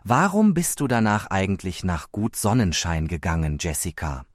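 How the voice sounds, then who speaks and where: An actor playing a solemn, encouraging interviewer in a satirical radio play. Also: male voice, sits low, lively intonation, moderate pace, dry recording, clean studio sound. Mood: inquiring.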